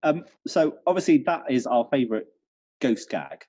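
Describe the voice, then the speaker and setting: Dull lo-fi call, mellow guy co-hosting, casual, bit hesitant, gently teasing. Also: medium pitch, animated, moderate pace. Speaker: co-host, venue manager. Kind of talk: casual chat. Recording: dull, band-limited video call audio.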